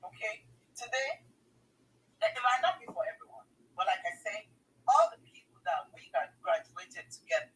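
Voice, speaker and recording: A Nigerian-accented woman gives casual advice to listeners in an emphatic, direct way, often repeating herself. Her pitch leaps and swoops widely as she speaks. Her voice comes over a video call from some distance away from the mic. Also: wildly swooping pitch, moderate pace, fairly bright tone. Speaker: guest sharing her migration story. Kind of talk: casual advice to international students.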